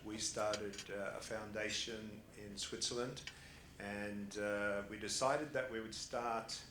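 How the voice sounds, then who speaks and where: A man's voice: lowish voice, fairly even intonation, slow pace, bright, forceful tone, slight background noise. A man with an Australian accent speaking on a conference panel, chatty and laughing, with many fillers and restarts. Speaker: panelist, family office founder. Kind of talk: casual panel talk. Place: conference hall. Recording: roomy hall with faint ambience.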